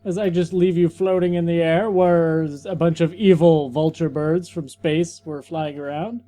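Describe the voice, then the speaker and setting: A man's voice: fairly high pitch, fairly even intonation, warm tone. A man co-hosting a comedy podcast, cracking jokes in casual chat. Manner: joking, quick asides. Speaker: podcast co-host.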